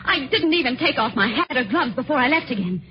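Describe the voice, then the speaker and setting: An actress playing an earnest young secretary with an urgent, dramatic old-time radio suspense delivery. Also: female voice, high-pitched, lively intonation, quick pace. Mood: pleading. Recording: muffled, noisy vintage radio recording.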